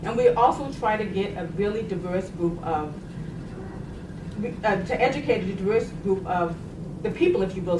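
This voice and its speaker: A middle-aged woman from Boston speaking on a panel in a chatty way, laughing as she tells stories. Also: mid-range voice. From Boston, United States. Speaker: panelist, science agency program director. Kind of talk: conversational panel talk. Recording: echoey room, distant mic, noisy.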